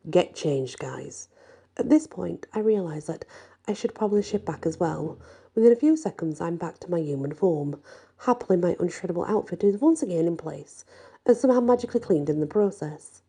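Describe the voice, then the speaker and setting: A woman's voice: lowish voice, expressive, a little fast, warm tone, husky. A woman reading a scripted fantasy story as an ASMR narration.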